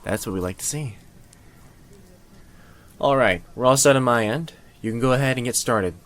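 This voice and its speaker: A man playing a calm, reassuring surgical team medic in an audio roleplay. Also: medium pitch, normal speed, lightly acted. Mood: calm, soothing. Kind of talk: ASMR comfort roleplay.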